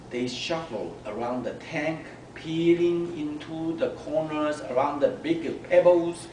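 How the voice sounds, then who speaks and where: A man reads a children's story expressively, slowly and in a slightly high voice. He pauses between phrases, and the room echoes around him. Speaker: storyteller at a speaking club. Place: club meeting room. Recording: echoey room, distant mic, background noise.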